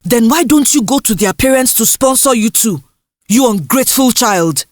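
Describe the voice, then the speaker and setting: A woman storyteller voicing a harsh village mother, scolding and dismissive, full of sharp rhetorical questions in a folktale. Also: fairly high pitch, expressive, normal speed, no echo, studio quality, lightly acted.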